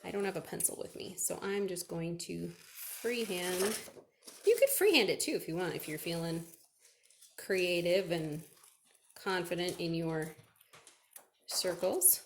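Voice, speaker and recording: A woman's voice: fairly low pitch, measured pace, pauses often, a little hiss or hum. A woman chatting through a step-by-step craft for children, asking questions and answering them herself. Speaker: children's librarian leading a craft.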